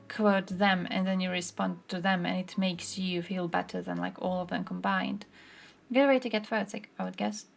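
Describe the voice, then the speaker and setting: A woman's voice: medium pitch, vocal fry. A woman from Croatia, chatting casually and informally as a livestream host, with some swearing. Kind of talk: casual livestream chat, reacting to chat and posts.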